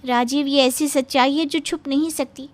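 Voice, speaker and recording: A woman playing a bold, insistent young girlfriend in an animated story, pleading and forthright. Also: sits high, moderate pace, lightly acted. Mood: urgent, insistent. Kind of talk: Hindi animated story acting.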